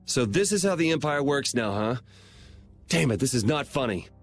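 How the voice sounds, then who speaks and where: A man's voice: medium pitch, lively intonation, normal speed, soft background music, close to an everyday voice. An actor playing a weary, cynical young man in a video game, grumbling in a casual, exasperated way.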